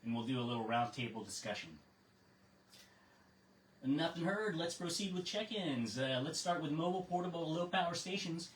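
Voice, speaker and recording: An American man serves as net control on an amateur radio net. His voice sits a little high, measured and procedural with casual asides. It is picked up from a distance in an echoing room with faint noise. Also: expressive. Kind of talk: reading a net script and chatting with check-ins.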